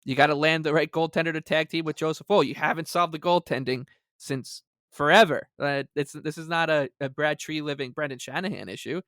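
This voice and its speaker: Man, slightly high-pitched voice, dry close recording, wry sports podcast co-host, sarcastic emphasis. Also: brisk, a bit bright, no room sound. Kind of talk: casual sports podcast chat, some dramatic reading.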